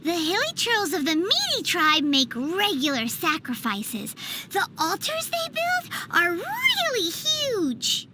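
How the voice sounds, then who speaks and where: A woman voicing a tiny, childlike, cheerful and greedy fairy companion in a video game, squeaky and exaggeratedly cutesy. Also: huge pitch swings, takes it slowly, few pauses, noisy background, full character voice. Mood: chatty, explaining. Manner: refers to self in third person, exaggerated cutesy delivery.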